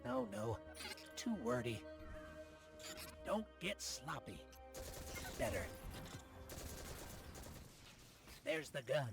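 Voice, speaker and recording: An animated, eager game sidekick, over music. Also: male voice, medium pitch, slow, vocal fry, exaggerated voice. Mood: mock-tough. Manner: earnest, self-correcting, trying to sound tough. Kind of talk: scripted video game mission dialogue.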